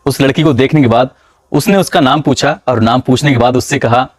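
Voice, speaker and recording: A man narrating a true-crime story in a measured, dramatic storytelling manner. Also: medium pitch, no room sound.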